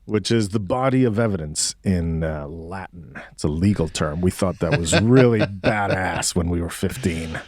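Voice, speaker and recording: Low-voiced man in his forties, casual. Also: moderate pace, hardly any pauses, a little mellow, dry recording, clean studio sound. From Mexico City, Mexico. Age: 40s. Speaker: guest musician. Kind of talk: casual reflective interview chat.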